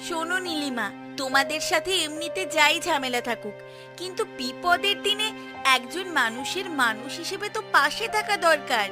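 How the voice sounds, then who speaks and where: A woman voicing sharp-tongued village women in a Bengali cartoon, with a Bengali accent. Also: sits high, animated, normal speed. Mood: earnest, worried. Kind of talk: cartoon voice acting. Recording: music behind the voice.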